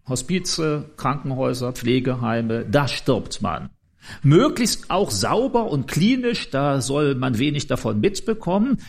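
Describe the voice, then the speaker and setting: Guy preaching, conversational and explaining stuff, really animated pitch, husky gravelly voice. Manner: explanatory, conversational, addresses listeners directly. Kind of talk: informal sermon.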